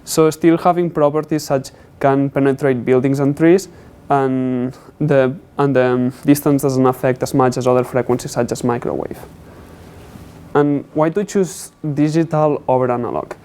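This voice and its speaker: Young guy presenting his tech project, clear smooth voice, kinda hesitant. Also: medium pitch, steady tone, normal speed, dark tone. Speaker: student presenter. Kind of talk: technical capstone presentation.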